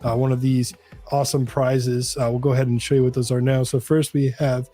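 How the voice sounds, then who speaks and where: A man's voice: medium pitch, flat delivery, a little fast, mellow, soft background music. A man co-hosting a live stream, explaining things in a casual, friendly, informal way.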